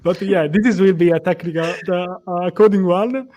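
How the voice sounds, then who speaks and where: A man's voice: sits high, normal speed, dull audio, crowd noise. Hesitant Italian-accented man hosting. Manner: hesitant, repeats words, friendly. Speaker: host. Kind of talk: casual video call chat. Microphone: video call.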